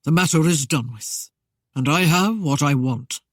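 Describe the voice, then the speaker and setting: Male narrator doing a cold, aloof lord, curt and measured, slightly higher voice, dry close sound. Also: no echo. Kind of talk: audiobook character voice.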